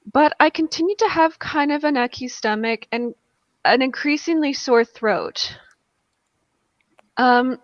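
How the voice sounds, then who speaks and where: A woman's voice: high voice, no room sound. A woman casually telling a personal story in a wry, self-deprecating way, acting out bits of dialogue on a video call. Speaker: guest on a charity livestream. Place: indoors at home, on a group video call. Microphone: video call microphone. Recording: dull, close video-call audio.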